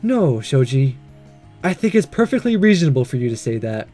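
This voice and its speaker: A man voicing a gentle, measured woman teacher and principal in a visual novel, kind and careful in manner. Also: medium pitch, very animated, soft background music, pitched below the performer's own voice. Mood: kind, serious. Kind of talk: reading visual novel dialogue aloud.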